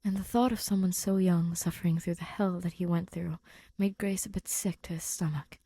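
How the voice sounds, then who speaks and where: A woman's voice: fairly low pitch, fairly even intonation, moderate pace, mellow, dry recording. A woman narrating a written story expressively, as an audiobook-style reader.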